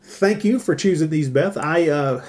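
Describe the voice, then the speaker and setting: A man hosting a podcast in casual discussion, thinking aloud in a halting way. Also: fairly high pitch, a little mellow. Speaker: podcast host.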